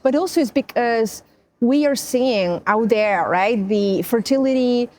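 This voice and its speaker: A woman hosting a podcast, introducing her guest with enthusiastic, effusive warmth in a conversational interview. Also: a little high, unhurried, a little hiss or hum. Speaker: podcast host.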